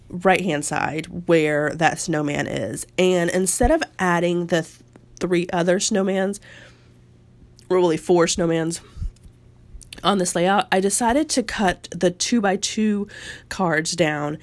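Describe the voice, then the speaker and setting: A woman in her thirties, slightly hoarse from a cold, narrating a scrapbooking process video in a chatty, personal way. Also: on the low side. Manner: chatty and personal, with frequent fillers and restarts. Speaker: scrapbooking channel host.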